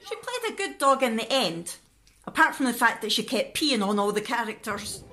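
A woman's voice: fairly high pitch, sing-song, moderate pace, very noisy. A woman in her thirties chatting casually and animatedly to camera as a vlogger in a living room, recorded at arm's length. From Scotland. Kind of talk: casual vlog chat to camera. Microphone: phone or camera at arm's length.

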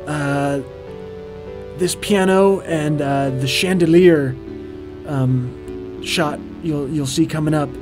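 A man voicing a vain, boastful ghost who hosts a comedic commentary track, talking in a hammy, pompous way over music. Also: on the high side. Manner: pompous, hammy, grows loud and ranting. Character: vain festive male ghost host, boastful. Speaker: co-host. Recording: music playing underneath.

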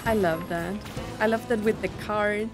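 A woman's voice: medium pitch, fairly even intonation, moderate pace. A young woman giving casual, enthusiastic reaction commentary over game trailers. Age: young adult. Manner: enthusiastic, filler interjections like mm-hmm. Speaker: reaction video host. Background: game trailer music and audio.

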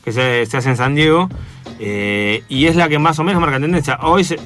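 An Argentine man talks as a radio columnist in a casual, eager way, doubling back on his words. His voice is sharp and bright, set a little high. Faint music plays underneath. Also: moderate pace. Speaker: anime and comics columnist on a radio show. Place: radio studio. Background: music under the talk.

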